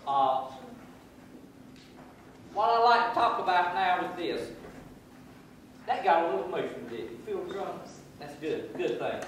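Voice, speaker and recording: A man's voice: high voice, lively intonation, measured pace, long pauses, slight background noise. A man, giving a folksy, preacherly motivational talk to youth in a large wooden camp hall. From North Carolina. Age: 60s. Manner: folksy, preacherly, gesturing while pacing. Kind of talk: motivational speech. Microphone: far camera. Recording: echoey hall from far off.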